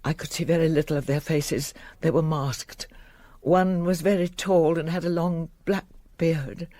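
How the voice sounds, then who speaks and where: A woman's voice: low voice, steady tone, measured pace, dark tone, no echo. A wealthy middle-aged widow in a radio drama. She is dignified but shaken, and her voice is recorded close and dry. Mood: shaken, recounting.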